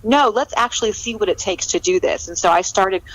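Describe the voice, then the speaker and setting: A woman's voice: medium pitch, brisk, rough recording. Chatty middle-aged American woman being interviewed. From California, United States. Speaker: interview guest, novelist. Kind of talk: conversational interview.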